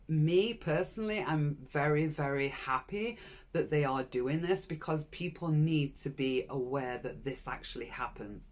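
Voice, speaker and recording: A woman's voice: deep voice, darkish tone. A woman, frankly telling her own story to camera in a personal vlog and urging viewers on. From Britain. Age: 60s. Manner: frank, earnest, encouraging. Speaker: channel host.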